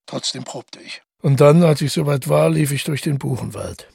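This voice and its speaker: An actor playing a haunted, brooding man who narrates a confession in a radio drama, measured and with deliberate pauses. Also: fairly high pitch. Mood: matter-of-fact confession. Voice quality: gravelly, husky. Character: tall, haunted man calmly confessing to a killing. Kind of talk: literary radio drama monologue.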